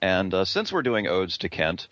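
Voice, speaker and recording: A male podcast panelist stumbles over his words, then rushes ahead. His voice is deep, and the recording is dull, lo-fi and dry. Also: big pitch swings, a bit bright, dry recording. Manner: halting, then quick bursts. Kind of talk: casual panel podcast chat. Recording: dull lo-fi sound.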